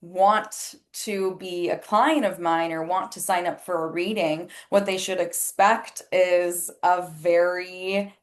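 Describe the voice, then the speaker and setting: A woman's voice: on the low side, steady tone, slow, hardly any pauses. A woman whose first language is Spanish, an astrologer and life coach chatting candidly as a podcast guest, filling her talk with "like". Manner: chatty and candid, many "like" fillers, acts out quoted remarks. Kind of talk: casual interview chat.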